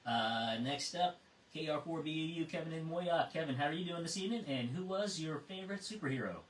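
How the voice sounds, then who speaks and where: A middle-aged or older man acting as net control on a ham radio net, talking casually through check-ins and often halting to repeat words. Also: on the high side, animated. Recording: roomy, distant mic, faint noise.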